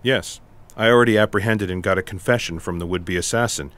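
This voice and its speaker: Casting: unflappable special agent played by a man, low-pitched, dry, deadpan and wordy, comic sci-fi radio theatre. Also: a little fast, lightly acted. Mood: matter-of-fact. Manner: deadpan, matter-of-fact, wordy. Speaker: voice actor.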